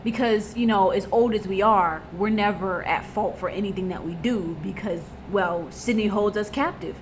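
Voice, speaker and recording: A woman's voice: medium pitch, moderate pace, a little hiss or hum, rough recording. Blunt young woman venting in a vlog. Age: 20s. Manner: blunt and raw, vents with frequent fillers and repeats. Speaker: vlogger. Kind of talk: personal confessional vlog.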